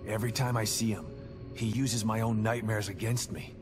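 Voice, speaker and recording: An actor playing a grim, determined middle-aged detective in a video game, terse and hard-boiled, haunted by loss. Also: male voice, fairly low pitch, fairly even intonation, moderate pace, natural voice. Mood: resolute. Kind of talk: dramatic video game acting. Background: music.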